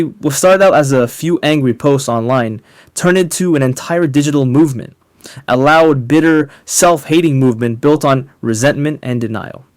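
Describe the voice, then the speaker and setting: A man's voice: medium pitch, no echo. Emphatic man, dry close sound. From North Africa. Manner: emphatic, rhetorical, deliberate pauses on key words. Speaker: online commentator. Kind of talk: polemical monologue to camera, partly scripted.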